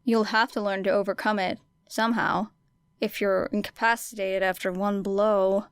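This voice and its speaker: A woman's voice: medium pitch, little pitch movement, moderate pace, clear, resonant tone, no room sound, close to an everyday voice. A woman voicing a weary, guarded teenage girl student with a dry, reserved delivery in an audiobook.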